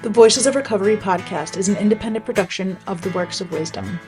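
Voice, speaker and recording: Woman, mid-pitched announcer voice, even scripted read, guitar music underneath. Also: fast talker, poor audio. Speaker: podcast host and announcer.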